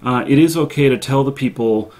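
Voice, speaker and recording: A man hosting a live stream, giving casual advice in a halting, deliberate way, often stretching out filler sounds as he searches for the next phrase. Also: mid-range voice, a little mellow. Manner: halting, deliberate delivery with frequent long pauses and filler sounds.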